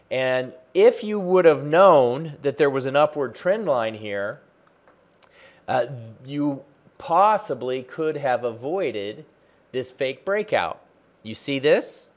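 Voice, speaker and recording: Man explaining chart patterns on camera. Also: mid-range voice. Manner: plain explanatory, pointing at the chart as he talks. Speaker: trading educator. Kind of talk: screen-share chart tutorial. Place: small home office with bookshelves. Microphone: close desk mic, webcam framing.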